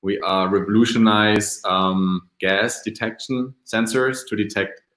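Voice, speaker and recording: A founder from Germany, a native German speaker, introducing himself haltingly at a panel. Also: male voice, fairly low pitch, fairly even intonation, fairly bright tone. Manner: halting, with many ums. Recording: echoey room.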